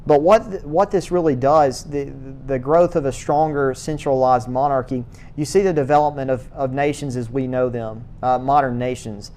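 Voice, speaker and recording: A man giving a church history lecture to a class, speaking in a halting way, restarting words and filling pauses with uh. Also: mid-range voice, steady tone, darkish tone. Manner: halting, repeats words, many uh fillers.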